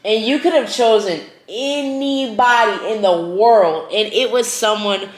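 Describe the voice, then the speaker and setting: A woman's voice: fairly high pitch, a little slow. A woman, the host's cousin, in casual conversation, arguing her point firmly. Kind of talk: casual chat. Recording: echoey room.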